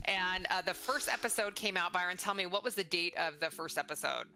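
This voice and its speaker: A woman's voice: fairly low pitch, fairly quick, sharp tone. A middle-aged woman hosting a casual conversational interview in an enthusiastic, encouraging way. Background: faint music.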